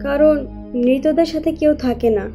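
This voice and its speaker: Casting: actress as sorrowful dead young woman's spirit, high-pitched, reproachful, animated horror tale over prominent music. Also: normal speed. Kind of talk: Bengali animated horror story acting.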